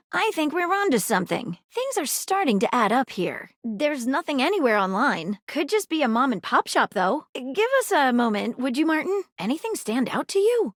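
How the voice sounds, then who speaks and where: High-pitched, lively female detective. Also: no room sound, studio quality. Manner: theatrical, articulate, formal phrasing. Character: eager, earnest female detective, inquisitive and courteous. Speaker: detective in a mystery game. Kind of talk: acted video game dialogue.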